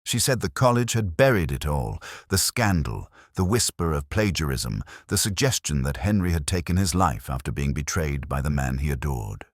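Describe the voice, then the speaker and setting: A man reading scripted first-person mystery narration in a measured, literary, melancholy manner. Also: extremely low pitch, lively intonation, no room sound, studio quality.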